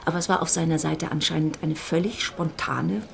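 A woman acting as the narrator of a period radio drama, a composed storyteller with a measured, literary delivery. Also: deep voice, steady tone, creaky. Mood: pensive.

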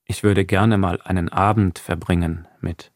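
A man's voice: deep voice, fairly even intonation, moderate pace, no room sound, clean studio sound. A man hosting a radio talk show, moderating an interview and reading out short prompts. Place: dry radio studio.

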